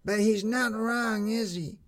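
A man's voice: sits high, fairly even intonation, slow, fairly bright tone, voice pushed up. A man voicing a feisty female street newsie in a storybook, blunt and impatient. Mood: aggrieved. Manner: blunt, exasperated.

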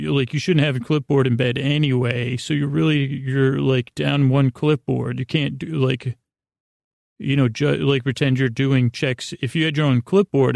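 Male bedtime podcast host, creaky gravel voice, goofy halting rambles, close dry sound. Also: medium pitch, a little mellow, no echo. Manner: meandering, halting, goofy, self-deprecating.

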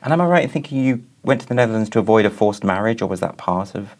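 A man's voice: fairly low pitch, lively intonation, very fast, darkish tone. A man hosting a podcast interview, speaking in a polite, articulate, conversational way.

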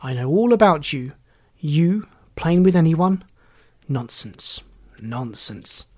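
A narrator voices a firm gentleman in an audiobook story. He is steady and curt, with quiet scorn in his replies. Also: medium pitch, slow. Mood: firm, scornful.

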